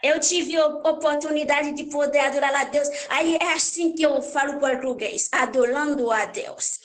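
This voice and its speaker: A young Haitian woman with a Haitian accent, her first language Creole, telling her life story as a church worship minister, halting and repeating phrases as she searches for words. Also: high voice, fairly even intonation.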